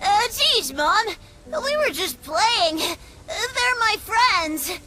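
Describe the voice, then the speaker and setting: A woman voicing a sensitive young male fawn in an animated comic dub, cheeky but whiny and sulky. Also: extremely high pitch, very slow, music in the background, heavily acted. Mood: sheepish.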